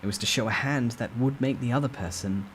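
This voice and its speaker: A man's voice: mid-range voice, quick pace, a little hiss or hum, rough recording. A man narrating a novel as a dramatic, theatrical reading. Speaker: storyteller.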